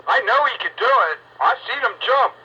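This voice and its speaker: A man's voice: very high-pitched, animated, brisk, pushed and bright, slightly rough, dull audio. A man chatting casually with fellow radio operators over the air. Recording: noisy, band-limited radio transmission.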